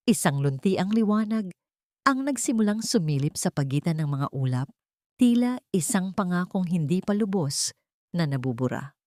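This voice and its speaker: A Filipino woman reading a scripted dramatic story in a measured, solemn, literary manner. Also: lowish voice, a little mellow, no room sound, clean studio sound.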